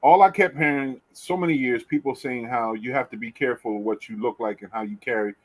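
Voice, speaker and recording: A middle-aged man hosting a podcast, chatting casually and telling lively stories with casual swearing. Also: mid-range voice, brisk, rough recording. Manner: animated storyteller, swears casually. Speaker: podcast host. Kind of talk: casual chat.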